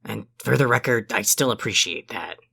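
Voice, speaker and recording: A woman playing a gruff, foul-mouthed young alien troll in an audio drama, with a raspy voice and a curt, grudging delivery. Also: rumbling low, moderate pace, background noise. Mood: gruff, softening.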